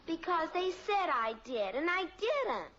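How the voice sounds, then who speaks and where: An actress playing an earnest, guileless little American daughter in a mid-century radio sitcom, innocent and plaintive. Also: female voice, very high voice, very animated, unhurried, a bit bright, heavily acted. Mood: innocent, defensive. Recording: dull, noisy old radio recording.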